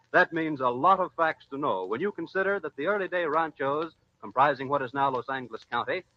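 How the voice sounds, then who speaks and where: An American man announcing in a formal, polished 1940s radio style, reading scripted sponsor narration. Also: on the high side. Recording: dull vintage radio broadcast with music.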